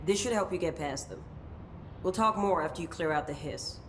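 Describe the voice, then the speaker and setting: An actress playing a guarded, commanding agency officer in a video game, speaking curtly and with authority. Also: female voice, on the low side, moderate pace, noisy background, close to an everyday voice. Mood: brisk, businesslike. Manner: curt, authoritative. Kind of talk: video game dramatic acting.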